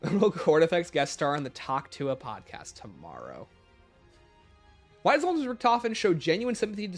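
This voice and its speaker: A man with a fairly high voice talks casually as a livestream host. His pitch moves around a lot as he fields questions in an offhand way, with faint music playing behind him. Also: sharp tone. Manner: reads questions aloud, then answers offhand. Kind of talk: casual livestream chat answering viewer questions.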